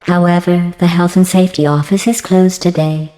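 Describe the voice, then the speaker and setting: A woman voicing a passive-aggressive female artificial intelligence in a video game, deadpan and mock-sweet, with synthetic robotic processing. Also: lowish voice, normal speed, long reverb tail, soft background music. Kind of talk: scripted video game dialogue.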